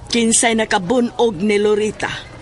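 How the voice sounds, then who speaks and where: Slow, menacing woman interrogator played by an actress. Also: mid-range voice, fairly even intonation, a little hiss or hum, close to an everyday voice. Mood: menacing, insistent. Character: stern, probing woman questioning schoolboys about an incident. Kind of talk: Filipino audio drama acting.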